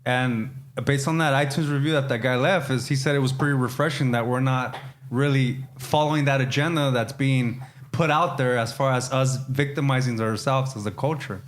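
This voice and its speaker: Chicano dude, mid voice, blunt and cussing a lot, bit of hiss behind him. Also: male voice, mid-range voice, steady tone, normal speed. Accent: Chicano English. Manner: blunt, profane, outspoken. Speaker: podcast co-host. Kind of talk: casual chat. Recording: faint background noise.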